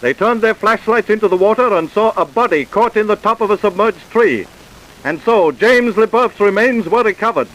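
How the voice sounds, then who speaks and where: A man's voice: high-pitched, normal speed, fairly bright tone, low-quality recording. A man acting as a grave, declamatory narrator of a vintage radio true-crime programme. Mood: grave, emphatic. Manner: declamatory, emphatic. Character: grave crime-show narrator reporting case facts. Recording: hissy vintage radio recording.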